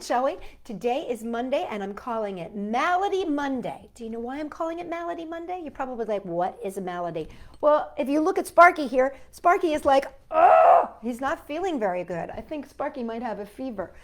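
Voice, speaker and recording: A woman's voice: sits high, animated, a little fast, hardly any pauses. A woman hosting a children's storytime, chatting playfully and speaking directly to young children. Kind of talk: playful children's storytime with reading and sing-along.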